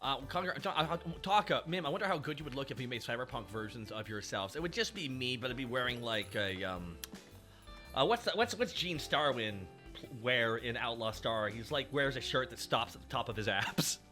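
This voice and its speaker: A man's voice: mid-range voice, lively intonation, brisk, pushed and bright, soft background music. A man streaming as a VTuber, bantering casually with a co-host and slipping into silly accent impressions.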